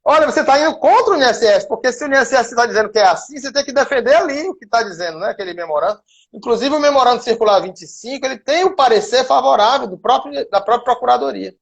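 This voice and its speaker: A middle-aged man from Salvador with a softened Bahian accent, a lawyer and professor explaining cases through worked examples in a live stream. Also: extremely high pitch, expressive, quick pace, gravelly. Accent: Bahian Brazilian Portuguese, softened. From Salvador, Bahia, Brazil.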